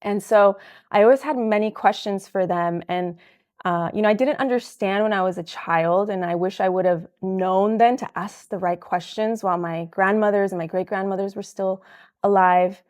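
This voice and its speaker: A woman from El Salvador, a guest herbalist, answering interview questions in an earnest, thoughtful way with many soft fillers. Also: mid-range voice, moderate pace.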